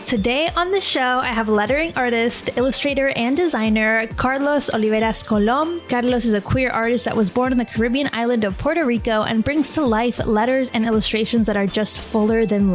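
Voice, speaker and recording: A woman's voice: fairly high pitch, normal speed, faint music behind. A woman hosting a podcast, speaking in an informal, chatty way as presenter and interviewer. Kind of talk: scripted podcast intro and casual interview chat.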